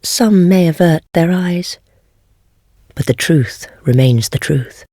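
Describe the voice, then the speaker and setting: An actress playing a wry, self-deprecating heretic sorceress in a dark fantasy game, with a husky, breathy voice and a languid, teasing, formal manner. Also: female voice, fairly low pitch, slow, no echo, clean studio sound. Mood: teasing, knowing.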